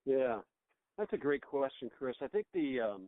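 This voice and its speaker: A man's voice: medium pitch, very animated, normal speed, no room sound. A middle-aged American man being interviewed as a business owner, talking conversationally and reflectively over the phone, often rephrasing as he goes. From United States. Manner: reflective, rambling, often repeats words to rephrase. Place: on a phone call. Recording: tinny, band-limited phone line.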